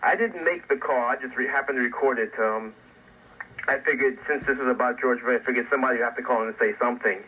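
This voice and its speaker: A man's voice: medium pitch, fast, slightly rough. A man calling in to a radio talk show, talking in a hesitant, chatty way. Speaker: caller. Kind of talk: phone call to a radio show. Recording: dull phone line on radio.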